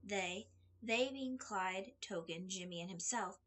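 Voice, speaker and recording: A woman reading a story aloud in an expressive audiobook style, with a slightly husky voice. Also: medium pitch, measured pace. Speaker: fan fiction reader. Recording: music under the voice. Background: background music.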